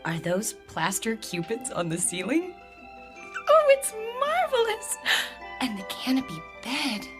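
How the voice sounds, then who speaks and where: An actress playing a cheerful, teasing, romantic wife with an American accent, expressive and coaxing in a radio drama. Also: female voice, fairly high pitch, measured pace, music in the background. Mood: delighted.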